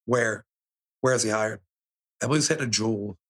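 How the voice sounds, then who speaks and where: A man's voice: lowish voice, a little fast, fairly bright tone. A young man co-hosting a podcast, cocky and teasing, swearing freely in casual banter. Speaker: podcast co-host.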